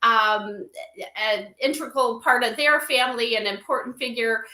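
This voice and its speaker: In a roomy, echoey livestream recording, a woman guest explains things in a bright, fairly high voice. She is informal and conversational, often pausing to seek agreement from her listener. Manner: informal, filler-heavy, seeks agreement with "right?" and "you know". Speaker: interview guest, company advisor.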